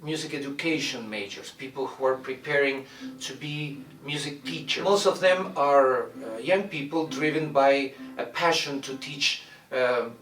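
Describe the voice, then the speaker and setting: A middle-aged man from Argentina, a violin professor introducing his studio in a measured way, with many "uh" pauses as he searches for words. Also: medium pitch, a bit bright, far from the mic, faint music behind. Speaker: violin professor and orchestra director. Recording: roomy echo, mic set back.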